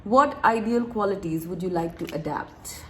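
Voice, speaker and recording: A woman recovering from a cold, reading tarot to her viewers in a chatty, relaxed way, laughing and clearing her throat often. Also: lowish voice, moderate pace, a little hiss or hum. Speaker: tarot reader. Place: room with door open, wind chimes outside.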